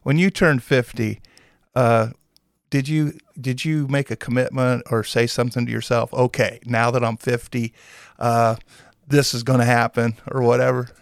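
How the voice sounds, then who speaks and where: A man's voice: mid-range voice, flat delivery, moderate pace, gravelly, no echo, clean studio sound. A man, hosting a casual local radio interview in a folksy, teasing way. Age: 60s. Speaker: radio show host. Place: small radio studio.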